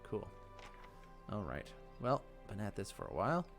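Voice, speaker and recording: Male let's play host, lower register with animated pitch swings, casual, thinking out loud, music underneath. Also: moderate pace, creaky. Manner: thinking aloud. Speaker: gaming video host.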